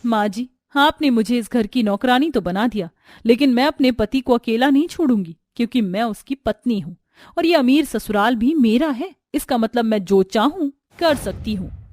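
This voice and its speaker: A woman's voice: fairly high pitch, lively intonation, moderate pace, darkish tone, no room sound, studio quality. A woman voicing an upset, determined young daughter-in-law in a family story. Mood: firm, determined. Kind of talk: acted dialogue in a moral family story.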